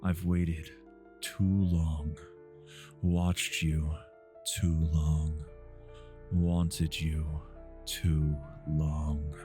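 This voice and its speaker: A possessive vampire lord, acted by a man, speaks in a low, dark voice over music. He is seductive and commanding in gothic ASMR style, drawing out every phrase very slowly and close to the mic. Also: level tone, exaggerated voice. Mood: insistent, possessive. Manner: slow, hushed, deliberate, commanding.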